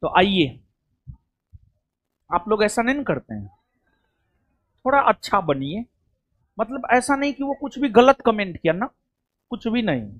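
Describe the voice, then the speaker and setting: A man's voice: high-pitched, sing-song, unhurried, pauses often, fairly bright tone. A man speaks to a coaching class. His talk is loose and chatty. He keeps circling back with little check-ins to the students. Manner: chatty, rambling, repeats "theek hai". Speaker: coaching teacher.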